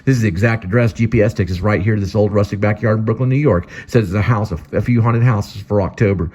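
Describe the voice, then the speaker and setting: A man's voice: on the low side, quick pace, a little mellow, breathy edge, pitched above the performer's own voice. A man voicing a chatty, upbeat young man. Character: cheerful young man with a flashlight.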